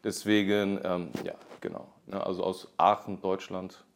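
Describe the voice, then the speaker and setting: A man's voice: deep voice, flat delivery, normal speed, vocal fry, a little hiss or hum. A man in his thirties from Germany, a podcast guest talking casually with many fillers and restarts. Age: 30s. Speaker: podcast guest, real estate agent.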